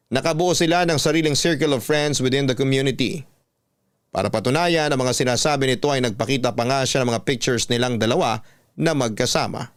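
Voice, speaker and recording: A man's voice: medium pitch, dry recording, studio quality. A man narrating a scripted first-person love story as a radio drama host.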